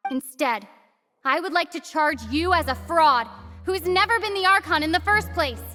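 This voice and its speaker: A woman's voice: extremely high pitch, moderate pace, bright, forceful tone. A woman playing a composed, accusing prosecutor in a video game, formal and pointed. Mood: accusing, firm. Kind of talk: dramatic video game voice acting. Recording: long hall reverb, music behind.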